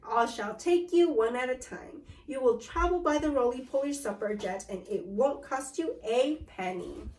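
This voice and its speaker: A woman voicing a big, friendly, helpful bird in a storybook read-aloud, calm and reassuring. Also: high-pitched, normal speed, echoey room, recorded from a distance, poor audio.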